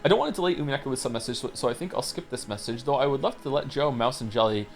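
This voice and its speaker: A man streamer from Wales reading out chat messages in casual talk, with quick wry asides. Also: medium pitch, animated, faint music behind.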